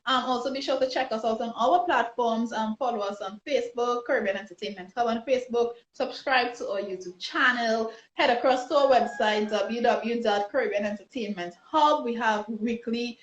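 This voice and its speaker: A woman with a Trinidadian accent hosts a live online interview in a fairly high voice, chatty and upbeat, stumbling and restarting as she goes. Her sound is roomy and muffled, like a video call. She speaks through a phone or laptop. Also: moderate pace, hardly any pauses. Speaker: host. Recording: roomy, dull lo-fi livestream audio.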